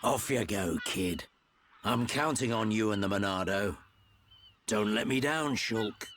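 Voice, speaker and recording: An actor playing a gruff but fond older mentor in a video game. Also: male voice, lowish voice, measured pace, fairly bright tone, a little hiss or hum. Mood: gruff encouragement. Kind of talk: video game character acting.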